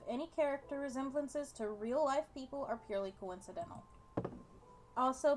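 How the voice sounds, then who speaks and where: A young woman with a slightly high voice hosts a gaming video. She chats casually and reads story text aloud, with faint noise behind her. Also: a little mellow. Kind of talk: casual chat and reading game text aloud.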